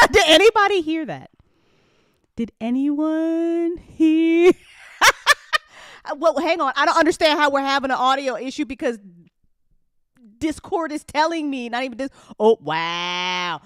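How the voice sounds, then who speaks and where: A woman hosting a live-stream listen party, chatting casually and excitably with her audience, giggly and quick to swear. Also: high-pitched, no echo, clean studio sound. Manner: hype-building, talks directly to chat. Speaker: host of a podcast listen party.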